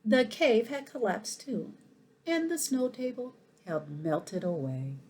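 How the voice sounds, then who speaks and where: A woman reading a children's story aloud in an expressive, animated way, with asides to her young listeners. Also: on the high side, slow pace, a little hiss or hum. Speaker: school principal reading a picture book aloud.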